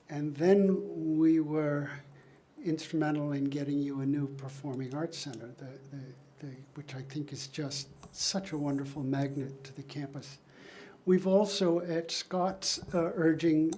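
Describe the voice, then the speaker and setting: A soft-spoken older man presents at a public meeting, taking his time with every phrase. His voice is mellow and a little high for a man. He drifts from the mic, so he sounds slightly distant in the meeting room. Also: slight background noise. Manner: soft-spoken, unhurried, folksy. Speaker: architect. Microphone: shared microphone, moving away from it.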